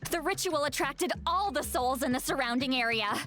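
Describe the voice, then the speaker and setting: A woman's voice: high voice, animated, normal speed, fairly bright tone. A woman voicing a composed young shaman in a video game, explaining things in a knowing, matter-of-fact way. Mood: sombre, knowing. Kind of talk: English video game voice acting. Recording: music behind the voice.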